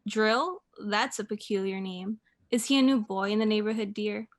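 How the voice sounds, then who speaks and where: A young woman playing a patient, indulgent mother in a radio play, gently chiding and mildly amused. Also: mid-range voice, normal speed, close to an everyday voice.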